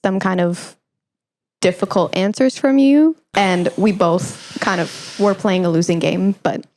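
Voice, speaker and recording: A woman's voice: medium pitch, unhurried, a little mellow, slight background noise. A woman with a mid-range voice hosts a casual interview. She talks playfully and teases her guest. Her manner often tips into mock indignation. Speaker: podcast host.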